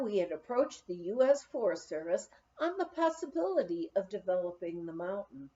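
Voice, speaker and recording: A woman reading a memoir aloud as an audiobook, with an expressive, deliberate storytelling delivery. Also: mid-range voice, dull audio. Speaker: audiobook reader. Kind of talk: scripted memoir narration, read aloud.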